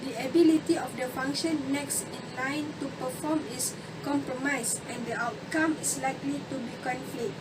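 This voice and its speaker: A woman in her twenties from Malaysia, reading a class presentation aloud in a steady way. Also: high-pitched. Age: 20s. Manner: steady, read-aloud delivery. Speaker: student presenter. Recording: distant mic with noise.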